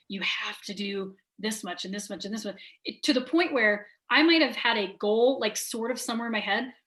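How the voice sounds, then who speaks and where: A woman, a guest on a business interview, talking quickly and openly and filling her speech with "like". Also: medium pitch. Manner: rapid and animated, lots of "like" fillers. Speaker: guest, sales trainer and business owner. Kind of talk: candid conversational interview.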